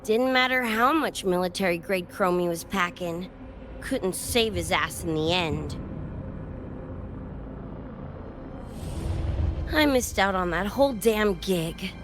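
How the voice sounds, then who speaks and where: A woman's voice: medium pitch, animated, a little slow, close to an everyday voice. A woman voicing a brash, cocky young mercenary with slangy, streetwise swagger in a video game. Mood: somber, reflective. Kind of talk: animated video game voice acting. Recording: music under the voice.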